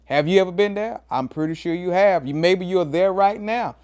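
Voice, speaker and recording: A man's voice: moderate pace, a little mellow, smooth. A man of fifty, a pastor hosting his own motivational talk, emphatic and preacherly. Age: 50s. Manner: preacherly, emphatic, swings pitch up and down for emphasis, says "man" often. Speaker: pastor and show host.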